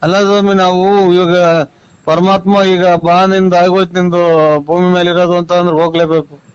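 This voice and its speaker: A man's voice: high voice, little pitch movement, normal speed, clear, resonant tone, no echo. A man with a rural Tumakuru Kannada accent talking in a bewildered, rambling, protesting way on a lo-fi phone call. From Tumakuru district, Karnataka. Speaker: prank call recipient. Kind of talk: casual phone conversation.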